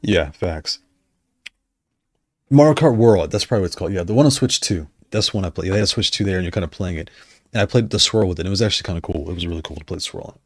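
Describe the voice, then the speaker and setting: A man with a very low voice talks loosely on a livestream, starting sentences over and wandering between points. His voice is wearing hoarse, and the sound is close and dry with no room around it. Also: animated, fast, dry recording. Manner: rambling, self-correcting, conversational. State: losing his voice. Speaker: streamer discussing controllers. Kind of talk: casual livestream chat.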